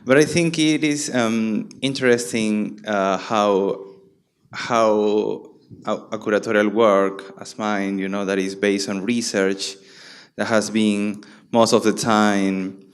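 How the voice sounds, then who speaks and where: Smooth-voiced Peruvian man, halting. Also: lowish voice, steady tone. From Peru. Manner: halting, many restarts and fillers. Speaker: guest curator. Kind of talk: panel conversation.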